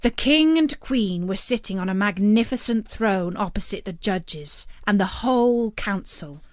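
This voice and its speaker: Slow, animated woman storyteller. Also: medium pitch, no echo, clean studio sound. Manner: expressive, deliberate storybook delivery. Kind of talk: scripted fairytale narration.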